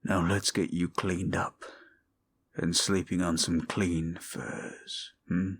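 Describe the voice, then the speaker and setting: A man playing a tender, devoted, hulking goliath bodyguard in an intimate romantic roleplay, murmuring slowly and breathily. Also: low-pitched, level tone, a bit bright, slight background noise, full character voice. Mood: admiring, amused.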